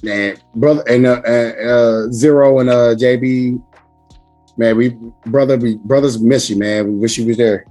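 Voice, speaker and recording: A man hosting a casual podcast chat with a hoarse, raspy voice from screaming, talking loudly and emphatically with lots of swearing. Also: mid-range voice, fairly even intonation, moderate pace, faint music behind. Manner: loud, profane, emphatic. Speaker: podcast host.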